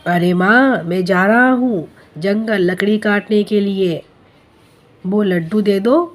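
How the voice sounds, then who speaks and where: An earnest, simple young woodcutter of a cartoon fable, voiced by a woman. The voice sits at a medium pitch and moves slowly, with a naive, devout manner. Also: over music, natural voice. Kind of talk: Hindi cartoon story dubbing.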